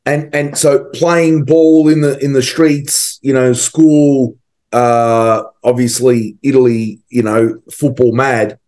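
Australian man, host, mid-pitched voice, hesitant with ums, webcam call. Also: flat delivery, slow, a little mellow. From western suburbs of Melbourne, Australia. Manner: hesitant, with many ums and restarts. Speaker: podcast host. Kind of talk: casual interview over video call. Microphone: video call, webcam.

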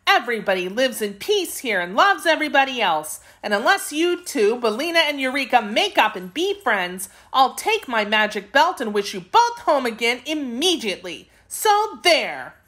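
A woman's voice: sits high, very animated, normal speed, hardly any pauses, fairly bright tone, voice pushed up. A woman voicing a brave, affectionate little girl in a storybook, speaking in an emphatic, bossy way. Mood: stern, scolding. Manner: emphatic, scolding.

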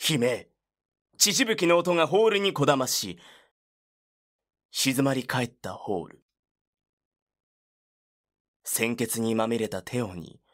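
A man's voice: mid-range voice, lively intonation, takes it slowly, pauses often. A man acting as the grave, detached narrator of a scripted horror audio drama.

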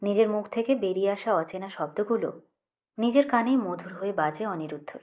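A woman's voice: mid-range voice, fairly quick, darkish tone. A woman narrating a literary short story expressively as an audiobook reader.

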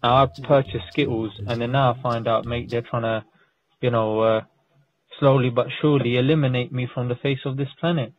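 A man's voice: medium pitch, steady tone, fairly quick, no room sound. Mock-aggrieved man, slightly dull recording. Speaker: prank caller. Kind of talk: comic complaint phone call.

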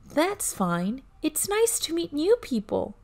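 A woman's voice: sits high, big pitch swings, slow pace, gravelly, voice pushed up, full character voice. A woman voicing a patient, reassuring mother of a young boy in a children's storybook. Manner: gentle, reassuring. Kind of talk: children's storybook read-aloud.

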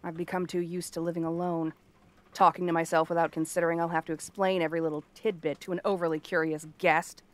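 A woman's voice: on the low side, flat delivery, quick pace, lightly acted. A woman playing a cranky exiled princess turned frog girl in a fantasy ASMR roleplay, haughty, sarcastic and theatrical. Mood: defensive, haughty. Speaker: voice actress.

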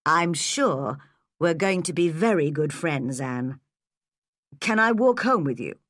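Woman doing kind young man, storybook, gentle, slow, dry. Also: sits low, expressive, no room sound, heavily acted. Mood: friendly.

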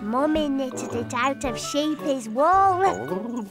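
A very high, slow-paced little girl's voice speaks with childlike emphasis as a bossy cartoon princess. It swings lively over prominent background music. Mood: earnest. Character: excitable, stubborn little princess girl playing vet. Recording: music behind the voice.

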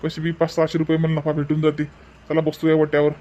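A man's voice: fairly high pitch, monotone, fast, warm tone, highs cut off, natural voice. A man playing a chatty village umbrella seller in a comic cartoon, with folksy sales patter and a Varhadi Marathi accent. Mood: pleased, hopeful. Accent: Varhadi Marathi from Vidarbha.

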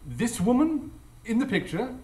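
A man playing a shrewd, gentlemanly older detective in a live radio drama, formal and probing. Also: very high voice, big pitch swings, unhurried, exaggerated voice. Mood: intent. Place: live stage before an audience. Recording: echoey live stage.

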